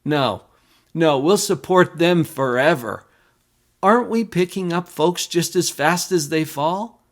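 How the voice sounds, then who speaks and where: A man's voice: fairly high pitch, animated, takes it slowly. A man voicing indignant townsfolk in a poem, dismissive and emphatic as they scoff. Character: indignant, dismissive townsfolk defending their foolish charity. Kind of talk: dramatic poetry recitation.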